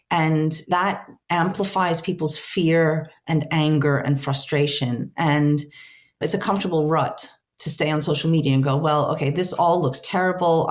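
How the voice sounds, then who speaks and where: A middle-aged woman whose first language is Ukrainian, giving earnest, heartfelt interview answers as a translator, often restarting her sentences. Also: sits low. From Ukrainian diaspora, raised speaking Ukrainian.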